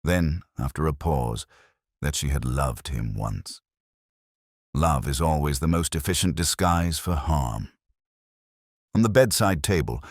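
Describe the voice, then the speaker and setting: A man playing a weary, erudite police inspector, narrating his case in a measured, melancholy, sardonic way as a literary audio drama. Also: sits low, dry recording, studio quality, exaggerated voice. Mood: wry, weary.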